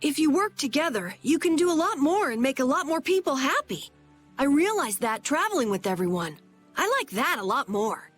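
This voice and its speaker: An eager young boy from a game, high-pitched and very animated. He talks in a plain, earnest, boyish way. Soft music plays behind him. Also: moderate pace. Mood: earnest, reflective. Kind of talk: video game dub acting.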